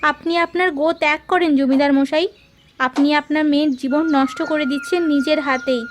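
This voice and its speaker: A woman playing a concerned, outspoken mother and landlord's wife in an animated folk tale, earnest and pleading. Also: high voice, normal speed, slight background noise, lightly acted. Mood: reproachful. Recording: music behind the voice.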